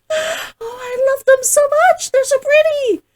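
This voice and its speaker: A woman with an expressive way of talking, hosting a casual read-along as an author. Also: very high-pitched, slow pace, a little hiss or hum. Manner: giggly, gushing, expressive. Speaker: host, author reading along. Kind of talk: casual livestream chat and novel read-aloud.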